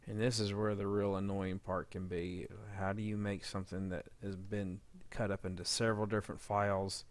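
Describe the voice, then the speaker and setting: A man's voice: deep voice, flat delivery, normal speed, dry recording. A man talking casually through a sound-editing demo as he works, often muttering to himself. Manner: mumbles file labels to self while working. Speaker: fan audio drama sound editor. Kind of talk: casual screen-recorded editing demo, talking while working. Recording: faint background noise, clicking.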